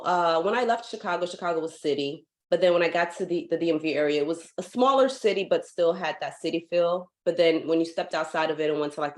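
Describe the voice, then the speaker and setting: A young woman from Guyana, a foundation founder, talking casually as a guest in a video-call interview, full of "like" fillers and lively while telling stories. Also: low-pitched. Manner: chatty, many "like" fillers, animated when telling stories. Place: home in Hawaii.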